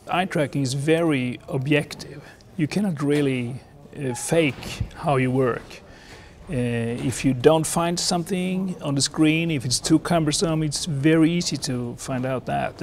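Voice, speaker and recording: A man in his fifties with a Swedish accent explains his research in an interview, in measured, halting non-native English. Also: fairly high pitch, a little hiss or hum. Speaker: air traffic researcher and former controller. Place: indoor seated interview in a lounge or office. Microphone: lapel mic.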